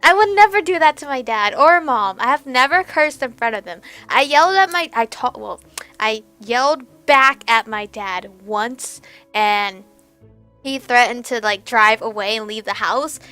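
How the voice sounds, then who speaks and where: Bright, high-voiced young woman, chatty gamer. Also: unhurried, faint music behind. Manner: expressive, reactive, chatty. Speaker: gaming streamer. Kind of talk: casual let's play commentary with read-aloud game text.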